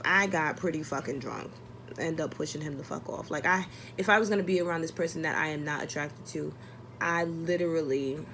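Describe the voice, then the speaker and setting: A woman's voice: fairly low pitch, gravelly, slight background noise. A young adult woman telling a personal story casually, exasperated and blunt, acting out dialogue and swearing often.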